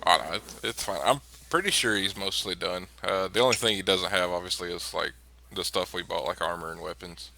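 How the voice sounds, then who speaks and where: A man's voice: sits low, fairly even intonation, brisk, creaky, bright, forceful tone, poor audio. A man playing in a tabletop role-playing game, chatting casually and teasing the others with chuckles. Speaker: player. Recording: faint background noise.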